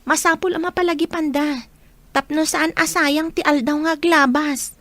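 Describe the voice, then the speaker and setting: An actress playing a caring, hesitant young niece in an Ilocano radio drama, with an Ilocano accent. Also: female voice, high-pitched, fairly even intonation, normal speed, fairly bright tone, no room sound. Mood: worried. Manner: hesitant, concerned. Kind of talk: Filipino radio drama acting.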